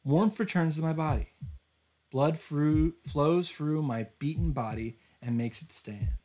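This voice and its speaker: A man voicing a desperate, determined young hero, narrating a visual novel in an intense, halting, solemn way. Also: on the high side, dark tone, poor audio. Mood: solemn resolve.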